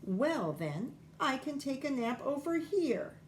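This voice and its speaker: A woman's voice: high-pitched, very animated, slow pace, a little hiss or hum, voice pushed up, full character voice. A woman voicing a sleepy, reluctant young male dog pupil in a storybook, hesitant and mildly protesting. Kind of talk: storybook read-aloud character voice.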